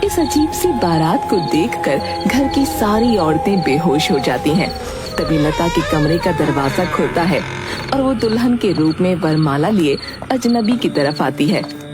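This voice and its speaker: Female cartoon narrator, scripted storytelling, lowish voice over a music bed. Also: expressive, a little slow. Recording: music behind the voice.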